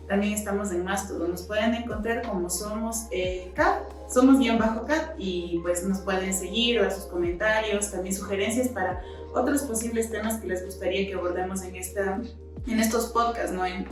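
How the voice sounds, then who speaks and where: A woman co-hosting a podcast, talking loosely with many fillers and restarts. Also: mid-range voice. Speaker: podcast co-host. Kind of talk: casual podcast chat. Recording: echoey room, distant mic, faint music.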